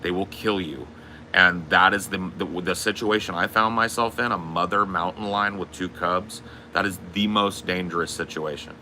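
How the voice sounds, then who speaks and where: A man with a booming voice, telling stories in a casual, profane podcast chat. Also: moderate pace, sharp tone, a little hiss or hum. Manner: profane, storytelling. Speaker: podcast host.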